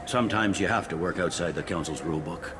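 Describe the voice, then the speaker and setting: A man acts out a pragmatic human police captain in a sci-fi game. His voice is low and his delivery is wry, blunt and matter-of-fact. Clear ambient noise sits behind him. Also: fast, close to an everyday voice. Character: gruff, pragmatic human male police captain on a space station. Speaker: security captain character. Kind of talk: video game dialogue.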